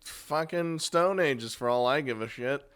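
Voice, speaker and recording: A man's voice: medium pitch, unhurried, fairly bright tone, clean tone. A man hosting a casual, unscripted countdown of games, chatty and crude, swearing freely. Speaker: video host and game reviewer.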